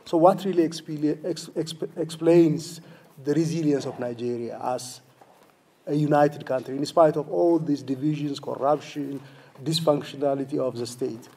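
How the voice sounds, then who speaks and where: Hesitant man asking questions, mellow voice. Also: a little high, fairly even intonation, moderate pace, slightly rough. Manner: hesitant, many fillers and restarts. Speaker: audience questioner. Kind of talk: question from the floor.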